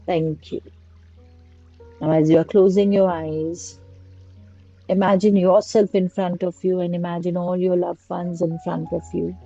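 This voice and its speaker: A woman slowly guiding a prayer and meditation in a soft, repetitive litany of blessings. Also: lowish voice, flat delivery, gravelly. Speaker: meditation guide. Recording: dull lo-fi recording with faint music. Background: faint music.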